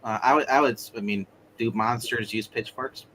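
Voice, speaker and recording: A man's voice: medium pitch, steady tone, moderate pace, fairly bright tone, slight background noise, low-quality recording. A man playing a tabletop roleplay game, chatting casually and stumbling through restarts. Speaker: roleplay player.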